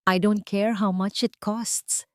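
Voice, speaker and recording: A woman's voice: mid-range voice, fairly even intonation, slow pace, darkish tone, no echo, voice pushed up, lightly acted. Narrator doing a curt, demanding wealthy woman for a storybook drama; dry. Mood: commanding. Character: wealthy woman, cold and commanding.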